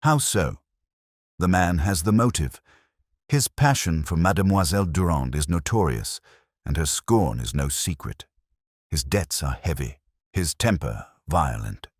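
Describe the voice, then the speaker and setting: A man's voice: a bass voice, lively intonation, unhurried, breathy edge, no echo, studio quality, full character voice. A man voicing a grim, impatient police inspector in a storybook mystery, blunt and insistent.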